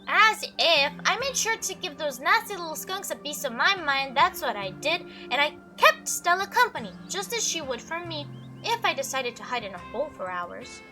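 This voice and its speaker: Woman as a high-pitched, prim, fussy animal companion. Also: sing-song, moderate pace, pushed and bright, soft background music, heavily acted. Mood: indignant, proud. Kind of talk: visual novel character voice.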